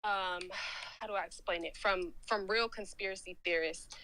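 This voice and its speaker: A woman's voice: mid-range voice, steady tone, normal speed, sharp tone, low-quality recording. A woman in her twenties from Georgia in the United States, chatting in a rambling, slangy way in a live chat room. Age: 20s. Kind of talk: casual chat.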